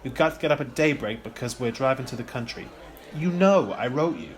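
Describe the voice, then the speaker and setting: A man's voice: mid-range voice, expressive, a little fast, natural voice. Man playing an awkward, polite young son. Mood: apologetic, pleading. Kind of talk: radio play acting.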